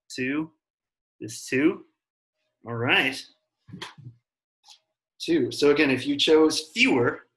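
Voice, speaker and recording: A man's voice: mid-range voice, sharp tone, breathy edge. An American man in his thirties teaching an online class, talking in a casual, friendly way with plenty of fillers. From Africa and Italy.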